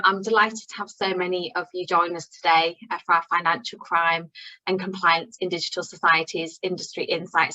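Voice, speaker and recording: Bright-voiced woman hosting a webinar. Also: on the low side, lo-fi sound. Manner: friendly, with frequent fillers. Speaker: webinar host. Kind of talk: hosting an online event. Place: online webinar.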